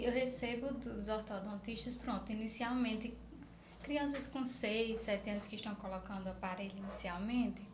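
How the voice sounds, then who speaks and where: A Northeastern Brazilian woman speaks as an interview guest in a fairly high voice. She explains things conversationally, with examples, like a practising therapist. The room adds clear echo, with faint noise underneath.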